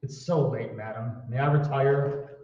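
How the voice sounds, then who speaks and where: A man's voice: medium pitch, a little slow, dark tone, natural voice. Man acting a formal deferential manservant, hesitant, old-time radio play, muffled far-off recording, long echo. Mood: polite bewilderment. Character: deferential, puzzled, very proper male household servant.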